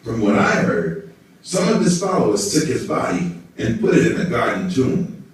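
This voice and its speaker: A man's voice: lowish voice, big pitch swings, normal speed. Man playing a formal council-member puppet, very echoey. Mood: scheming. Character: evasive, self-important male religious council member puppet. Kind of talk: scripted puppet show dialogue. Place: indoor hall, behind a puppet stage. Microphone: far camera in the audience. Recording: echoey room, distant audience camera.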